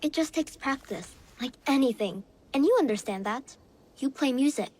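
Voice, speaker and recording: A woman's voice: high-pitched, animated, moderate pace, darkish tone, breathy edge. A woman voicing a shy, kind young boy with a hesitant, earnest manner in a video game cutscene. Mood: earnest, encouraging.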